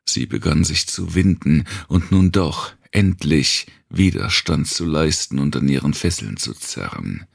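A man reading scripted narration for a dark thriller audiobook. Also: very deep voice, expressive, unhurried, vocal fry, hardly any pauses, husky.

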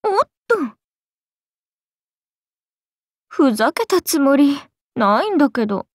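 High, playful, teasing goddess. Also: female voice, sing-song, normal speed, no room sound, studio quality. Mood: languid, amused. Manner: casual, teasing, airy drawl. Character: playful, teasing, carefree oni goddess in woman's form. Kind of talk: Japanese anime game voice acting.

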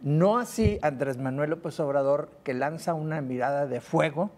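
A man's voice: fairly high pitch, normal speed, a little mellow. A Mexican man commenting on the news in a panel, conversational, with frequent hesitant fillers.

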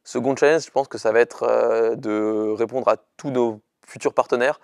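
A man's voice: mid-range voice, fairly even intonation, moderate pace, vocal fry, no echo, clean studio sound. A young man, a startup chief executive giving an earnest, hesitant interview to camera in short bursts. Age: young adult. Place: indoor studio with dark backdrop. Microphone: lapel mic.

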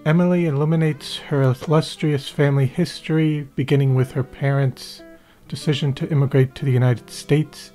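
Man, podcast host, formal ornate delivery, soft guitar music behind. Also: on the high side. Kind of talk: scripted introduction and interview questions.

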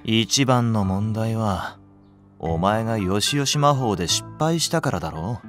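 Lazy cynical preschool teacher, teasing and sardonic, animated voice, audio drama with soft music behind. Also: male voice, on the low side, a little slow. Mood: flippant. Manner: flippant, sardonic. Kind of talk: Japanese audio drama acting.

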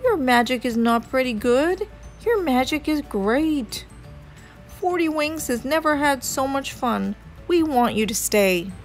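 A blustering storybook mayor, played by a woman reader. He is stern and emphatic, declaiming in a very lively, high voice with unhurried delivery. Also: faint music behind. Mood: earnest. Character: blustering, stern small-town male mayor. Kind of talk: children's storybook character reading.

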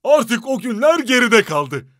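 A very high, swooping cartoon toy truck, proud and eager to work. He announces himself showily and takes his time over the words, with music playing behind. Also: very animated, unhurried, a bit bright, slight background noise, exaggerated voice. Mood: proud, showy. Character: boastful upgraded toy dump truck, male, eager and hardworking. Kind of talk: children's animated series voice acting.

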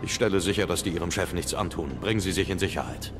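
An actor playing a grim, commanding masked vigilante in an audio drama, terse and stern. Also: male voice, low voice, quick pace. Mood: businesslike. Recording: background music under the voice.

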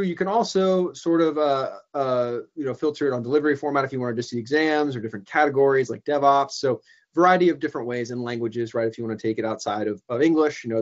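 A young man presenting a software training webinar over a video call, explaining in a casual way with many ums and uhs, and a bit hoarse from losing his voice. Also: mid-range voice, dull audio. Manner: casual, filler-heavy explaining. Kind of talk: screen-share software demo. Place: small room indoors. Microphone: video call webcam.

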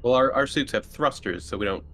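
A man's voice: medium pitch, lively intonation, fairly quick, fairly bright tone. A man playing a tabletop game, chatting and bantering casually with the group.

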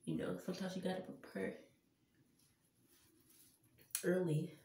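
A woman's voice: on the low side, flat delivery, unhurried, vocal fry, dark tone, slight background noise. Stuffy-nosed woman vlogging in a bathroom. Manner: chatty, addresses viewers as y'all. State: congested, stuffy nose from allergies. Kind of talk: casual Halloween makeup vlog. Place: small tiled bathroom. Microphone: camera on a stand a few feet away. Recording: roomy bathroom echo, distant camera mic.

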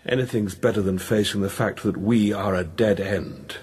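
A man in a radio drama playing a weary, guilt-ridden priest, anguished and searching, with an intense, halting, brooding delivery. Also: fairly low pitch, fairly even intonation, darkish tone, slightly rough. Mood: fearful, intense.

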